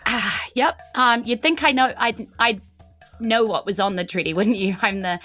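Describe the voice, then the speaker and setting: A woman's voice: a little high, moderate pace, sharp tone, soft background music, pitched above the performer's own voice. Woman playing an earnest, hesitant teenage empress, New Zealand accent. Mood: anxious, pleading. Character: earnest teenage empress, young, nervous but determined.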